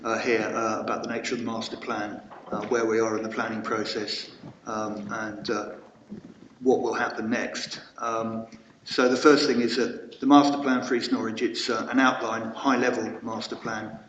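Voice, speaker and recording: A man's voice: fairly low pitch, flat delivery, moderate pace, a bit bright, distant mic, a little hiss or hum. A man councillor firmly answering a question at a council meeting, with frequent "uh" fillers. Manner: firm, with uh fillers. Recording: dull echoey chamber from off mic.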